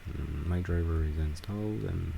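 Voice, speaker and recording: Guy with a deep gravelly voice slowly walking thru a setup tutorial, noisy recording. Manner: halting step-by-step instructions with frequent pauses. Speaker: tutorial presenter. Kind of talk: screen-recorded software tutorial.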